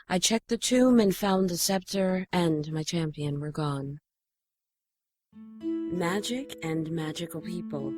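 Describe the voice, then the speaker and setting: Casting: actress as stately female immortal, grave and formal, lowish voice over music, fantasy audio drama. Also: darkish tone, natural voice. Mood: grave, storytelling. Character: solemn, regal female immortal guardian of a champion. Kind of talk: audio drama narration and acting.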